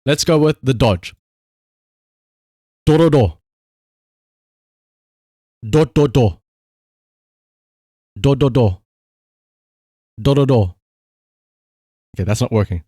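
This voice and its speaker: A man's voice: medium pitch, mellow, dry recording, clean studio sound. A man doing casual live game-stream commentary, swearing freely and repeating short command words.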